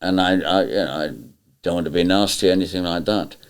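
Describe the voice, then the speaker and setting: A man's voice: sits low, fast talker, clean tone. A man, a former pantomime performer, speaking bluntly and indignantly about how the show ended. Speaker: former panto cast member. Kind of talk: interview.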